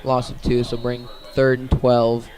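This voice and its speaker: A man doing live radio play-by-play of a high school football game in clipped, descriptive phrases. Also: monotone, measured pace, a little mellow. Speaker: play-by-play sports commentator.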